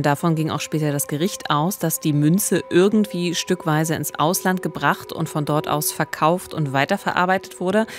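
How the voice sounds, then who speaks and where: A woman in her thirties co-hosting a true-crime podcast, narrating conversationally into a studio microphone. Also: low-pitched. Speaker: podcast co-host. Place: small radio studio. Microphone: studio microphone with pop filter, headphones.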